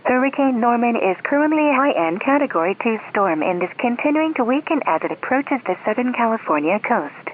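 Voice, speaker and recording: A woman's voice: fairly high pitch, big pitch swings, a little fast, few pauses. A woman reading a scripted storm update in a formal bulletin manner. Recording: tinny, noisy phone-like alert broadcast.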